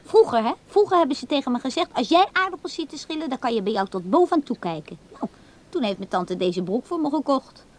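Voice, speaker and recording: An actress playing a naive, blunt, skittish kitchen worker in a radio play, blurting things out artlessly and defensively. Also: female voice, sits high, animated, fast.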